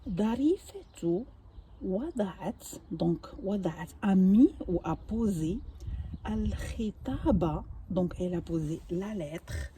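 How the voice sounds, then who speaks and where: Older woman teaching slowly and patiently. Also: mid-range voice, animated, dark tone, slight background noise. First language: Arabic. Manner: patient, explains each word slowly with small restarts. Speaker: Arabic teacher. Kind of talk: home-made language lesson.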